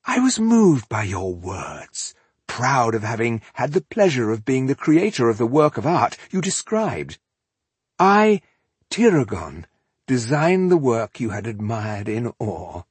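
A man's voice: medium pitch, very animated, measured pace, breathy edge, no room sound. A man voicing a suave, cruel elf-like warlord in a fantasy audiobook, velvety and mockingly polite in a conversational way. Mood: conversational, self-satisfied.

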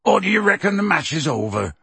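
Man reading gruff giant gamekeeper, blunt, testy, stubborn; husky, dry mic. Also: high-pitched, very animated, quick pace, pushed and bright, no room sound, voice pushed up, full character voice. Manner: testy, defiant, outspoken.

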